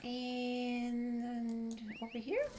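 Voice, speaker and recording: A woman's voice: a little high, monotone, very slow, heavy background noise. A woman doing a casual game walkthrough, reading dialogue choices aloud and commenting. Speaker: gamer narrating a walkthrough.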